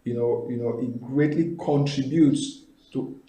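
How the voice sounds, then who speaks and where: A man in his thirties, a guest answering interview questions over a video call, halting with many repeated words and fillers. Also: mid-range voice, dark tone. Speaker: guest, company founder. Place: indoor room, video call. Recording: echoey room on a video call.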